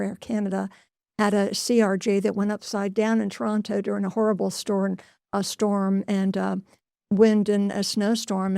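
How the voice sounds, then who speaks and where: A woman delivers a news report in a mid-range voice. She explains things deliberately and often restates her points. The recording is dry, with very little room sound. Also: mid-range voice, gravelly, mellow, no echo. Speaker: transportation news correspondent.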